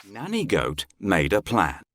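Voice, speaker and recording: A man's voice: low-pitched, wildly swooping pitch, measured pace, fairly bright tone, slight background noise. A man narrating a children's fairy tale as a scripted storyteller.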